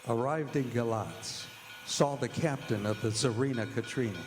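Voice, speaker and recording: Man playing an earnest journal-keeping gentleman, hall echo. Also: mid-range voice, moderate pace, music in the background, close to an everyday voice. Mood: grave, urgent. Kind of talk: live radio drama, diary narration. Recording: reverberant stage hall, faint noise.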